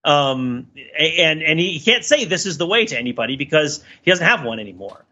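Man, lively swooping pitch, slightly raspy, casual analytical podcast talk, emphatic, rambling. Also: brisk, muffled recording. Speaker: podcast panelist.

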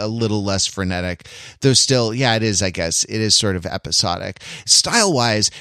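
A man talking casually about film as a podcast panelist, in a halting, rambling, analytical way. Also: mid-range voice, pushed and bright, dry recording, clean studio sound.

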